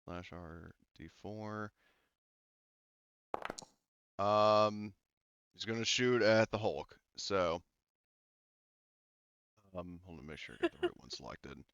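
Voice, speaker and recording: A man speaking casually and haltingly as the game master of a tabletop roleplaying game, thinking aloud. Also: on the low side, gravelly, fairly bright tone, smooth, no room sound, dull audio.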